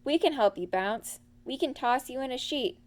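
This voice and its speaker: Little storybook piglet, female reader, helpful and keen, high young voice. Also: animated, moderate pace, a little mellow. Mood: eager.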